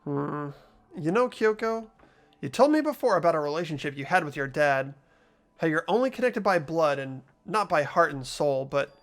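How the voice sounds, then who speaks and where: A performer voicing an earnest, animated teenage schoolboy. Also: medium pitch, moderate pace, faint music behind, lightly acted. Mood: gentle concern. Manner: hesitant, questioning delivery. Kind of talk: fan voice acting of a visual novel game.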